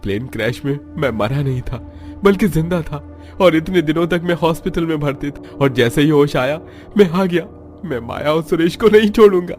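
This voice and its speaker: A man's voice: on the high side, moderate pace, darkish tone, faint music behind, natural voice. A man playing a devoted, wronged husband and father in a cartoon drama, emphatic and melodramatic. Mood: determined. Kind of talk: melodramatic Hindi cartoon dialogue.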